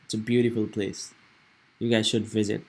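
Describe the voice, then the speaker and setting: A young man with a fairly low voice talks through stock charts in a casual, meandering way. He thinks out loud, restarting sentences and filling pauses as he goes. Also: little pitch movement, slightly rough. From Nepal. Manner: rambling, thinking aloud with many fillers and restarts. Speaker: stock trading streamer. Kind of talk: casual live market commentary.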